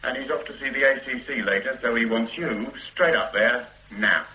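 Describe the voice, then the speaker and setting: A man's voice: medium pitch, normal speed, bright, forceful tone. A man playing a jaded, grumbling police sergeant in a radio drama, wry and matey. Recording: roomy radio drama recording.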